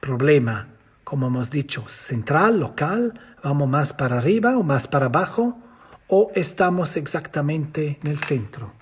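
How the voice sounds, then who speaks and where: Husky-voiced man lecturing in non-native Spanish. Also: a little high, unhurried. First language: not Spanish. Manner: lively, asks and answers his own questions. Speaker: physiotherapy lecturer. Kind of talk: teaching a course, explaining slides.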